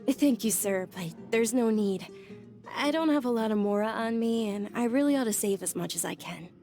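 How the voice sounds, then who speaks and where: A courteous young noblewoman, frail since childhood, played by a woman, speaks softly and earnestly. Her mellow voice carries a light vocal fry. Faint game music plays behind her. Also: a little high, moderate pace, natural voice. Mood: polite. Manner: soft-spoken, courteous, earnest storytelling. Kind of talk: video game cutscene voice acting.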